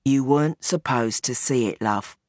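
A woman reads as an aging crime boss in a storybook, low and heavy, calm and unrepentant. His deep voice sits far below the narrator's, sounding tired and settled. The sound is dry and close. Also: moderate pace, no room sound. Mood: resigned.

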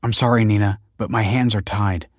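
A man's voice: on the low side, steady tone, a little fast, dry recording, clean studio sound, lightly acted. A man voicing a firm, tense married woman in an audiobook, insistent and emphatic. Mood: snapping, alarmed. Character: firm, tense wife, a fertility director.

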